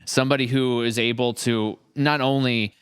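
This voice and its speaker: A man's voice: medium pitch, steady tone, moderate pace, a little hiss or hum. A man in a casual, reflective conversation as a podcast host. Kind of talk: casual chat.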